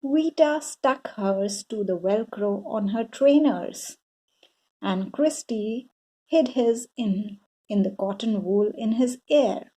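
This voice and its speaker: Very slow, higher-voiced woman storyteller. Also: husky, low-quality recording. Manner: deliberate, expressive, aimed at young children. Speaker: storyteller reading a children's book aloud. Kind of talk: expressive bedtime story narration.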